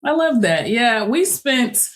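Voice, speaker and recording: A woman in her late thirties from Chicago, hosting a podcast in a relaxed, chatty way and laughing easily. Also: a little high, takes it slowly. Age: 30s. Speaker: podcast host. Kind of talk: casual chat.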